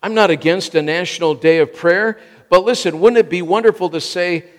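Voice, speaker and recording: A man's voice: sits high, brisk. An American man in his sixties preaching a sermon in emphatic short phrases, often repeating them for weight. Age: 60s. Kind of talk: sermon to a congregation. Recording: long reverb of a large hall.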